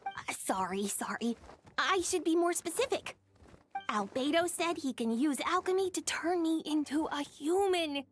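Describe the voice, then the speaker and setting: A woman voicing a young boy dragon in a video game, shy, gentle and earnest, with a soft, hesitant, sincere delivery. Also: sits high, expressive, unhurried, background noise.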